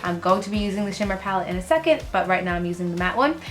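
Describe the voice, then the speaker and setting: A young woman talking straight into a close camera in her bedroom while she does her makeup, with faint music in the background. She is sick, so her voice sits lower and rougher than her usual. She rambles, slips in ums and likes, and trails off while she works. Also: expressive, racing. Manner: chatty, rambling, fillers, trails off while working. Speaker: beauty vlogger. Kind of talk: casual makeup tutorial chat. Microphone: camera close up in front of face.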